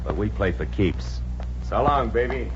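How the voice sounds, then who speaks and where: An actor playing a menacing, wisecracking gangster who talks in mock-polite slang in a 1950s radio comedy. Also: male voice, fairly low pitch, expressive, measured pace. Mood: menacing, amused. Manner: slangy, mock-polite tough-guy talk. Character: menacing wisecracking male gangster debt collector. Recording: muffled, noisy old radio recording.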